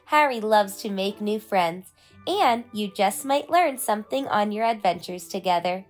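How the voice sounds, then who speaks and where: A woman's voice: medium pitch, expressive, a little slow. A woman narrating a children's storybook as host of a read-aloud. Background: faint music.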